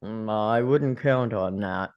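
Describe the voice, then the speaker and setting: A woman's voice: rumbling low, slow, clean tone, highs cut off. A woman reading a laid-back, blunt male school janitor who needles casually in a table read. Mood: unimpressed. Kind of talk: table read of a film script.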